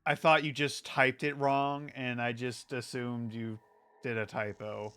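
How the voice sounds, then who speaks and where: A woman's voice: extremely low pitch, level tone, unhurried, a bit bright, smooth. A woman doing casual live reaction commentary as a game streamer, informal, slangy and sweary. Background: game music.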